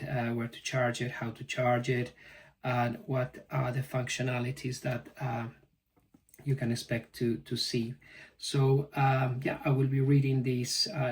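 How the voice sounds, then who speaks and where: A male product reviewer talks casually through an unboxing in a halting way. His mid-pitched voice stays fairly flat and sounds a little far from the microphone, with faint noise behind it. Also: vocal fry. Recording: distant mic, faint background noise.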